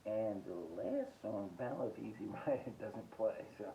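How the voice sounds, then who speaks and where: A man hosting a casual, rambling music collection review, listing titles haltingly and giving blunt, short verdicts. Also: on the low side, expressive, lo-fi sound, heavy background noise. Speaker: host of a music collecting podcast.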